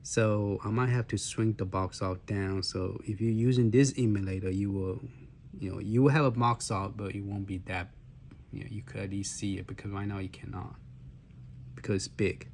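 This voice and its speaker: A man with a hoarse voice, walking through a gaming handheld's menus in a halting casual way, with many fillers and restarts. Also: lowish voice, steady tone, darkish tone, slight background noise. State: hoarse, clearing his throat. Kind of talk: casual walkthrough demo.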